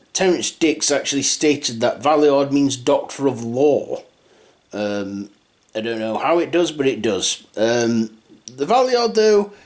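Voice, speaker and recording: A man's voice: a little high, a little mellow. A man giving an unscripted fan review in a rambling, hesitant way, often trailing off and starting again. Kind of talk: unscripted audio drama review.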